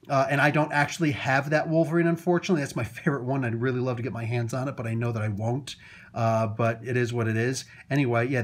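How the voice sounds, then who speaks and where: Husky man rambling through a toy unboxing. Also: medium pitch. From Italian heritage. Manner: rambling, many fillers and restarts, chuckles often. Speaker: toy reviewer. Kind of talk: casual unboxing commentary. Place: indoors at a table, off camera.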